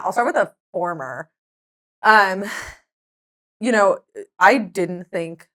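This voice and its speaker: A woman whose first language is Hebrew chats as a podcast guest, a touch low in pitch. She tells her stories with lively energy and breaks into laughter often. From Israel. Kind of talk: casual chat.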